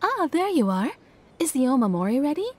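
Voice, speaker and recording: An actress playing a polite, helpful young shrine maiden in an English-dubbed anime game, attentive and courteous. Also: female voice, high voice, big pitch swings, brisk, darkish tone, slight background noise.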